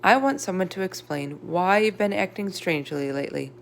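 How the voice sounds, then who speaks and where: A woman's voice: lowish voice, animated, fairly quick, creaky, slight background noise. A woman voicing a firm but caring female schoolteacher in a children's storybook.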